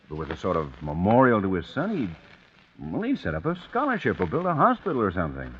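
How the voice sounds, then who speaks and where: An actor playing a wary, skeptical husband in an old-time radio crime drama. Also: male voice, sits low, wildly swooping pitch, fast talker. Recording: muffled, noisy old radio drama recording.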